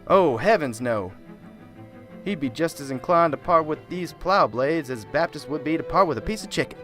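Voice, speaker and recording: A man playing a soft-spoken, pious small-town reverend who rambles earnestly, in an amateur radio drama, with faint music behind. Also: on the high side, animated, moderate pace. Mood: solemn. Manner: gentle, pious and wordy.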